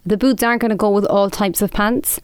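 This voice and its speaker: Smooth-voiced young woman chatting. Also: mid-range voice, fairly even intonation, brisk, no room sound, clean studio sound. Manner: chatty, laughs easily. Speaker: podcast co-host. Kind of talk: casual chat.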